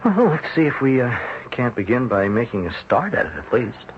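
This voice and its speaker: A man acting a patient, gently skeptical psychiatrist in an old-time radio drama, speaking in a hesitant, thoughtful way. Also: mid-range voice, sing-song, lightly acted. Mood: patient, reassuring. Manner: hesitant, thoughtful, with hums and pauses. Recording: muffled, noisy old radio broadcast recording.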